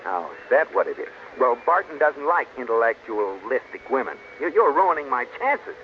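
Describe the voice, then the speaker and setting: Actor as an animated, exasperated sitcom husband. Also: male voice, medium pitch, moderate pace, close to an everyday voice. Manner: exasperated, hesitant politeness turning to sharp scolding. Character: exasperated, sarcastic husband anxious to impress a guest. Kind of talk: old-time radio sitcom acting. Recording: muffled old radio recording, faint noise.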